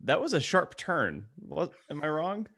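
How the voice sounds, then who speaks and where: Husky man with lively pitch. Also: a little slow. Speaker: podcast co-host. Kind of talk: casual comic banter.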